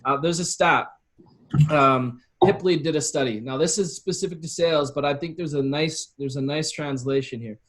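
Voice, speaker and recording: A man's voice: fairly high pitch, normal speed, fairly bright tone. A man giving advice as an interview guest, explaining his approach casually and conversationally. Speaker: interview guest, copywriting expert.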